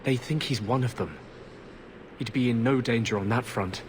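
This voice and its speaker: An actor playing an earnest, tormented former warden mage in a fantasy video game. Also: male voice, medium pitch, normal speed, noisy background, lightly acted. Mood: thoughtful. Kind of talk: video game cutscene acting.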